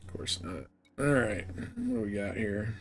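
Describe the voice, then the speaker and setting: A man's voice: lowish voice, wildly swooping pitch, a little slow, a little hiss or hum. A man giving casual gameplay commentary as a streamer, muttering short remarks to himself as he thinks aloud. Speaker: game streamer.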